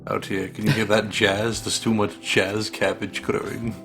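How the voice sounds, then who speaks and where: A man's voice: fairly low pitch, level tone, measured pace, fairly bright tone. A man doing a playful let's-play, reading aloud and joking, with a sweary, silly manner. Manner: jokey, sweary, breaks into silly riffs. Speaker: gaming channel co-host. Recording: music playing behind the voice. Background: game music.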